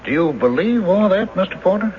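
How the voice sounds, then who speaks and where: An actor in a radio drama playing a skeptical police lieutenant, questioning in a measured, probing manner. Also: male voice, high-pitched, lively intonation. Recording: dull hissy old radio recording.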